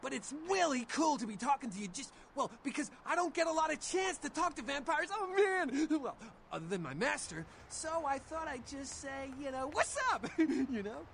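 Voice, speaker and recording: Excitable, very high-pitched American slacker. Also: male voice, normal speed, vocal fry, hardly any pauses, slight background noise, heavily acted. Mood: awed, gushing. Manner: gushing, rambling, slangy. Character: excitable young male vampire's servant, starstruck slacker. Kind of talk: video game dialogue acting.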